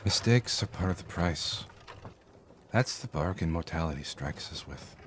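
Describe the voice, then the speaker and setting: An actor playing a weathered, courteous, philosophical cart driver in a fantasy audio drama, speaking formally and reflectively. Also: male voice, deep voice, lively intonation, measured pace, slight background noise. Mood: reflective, earnest.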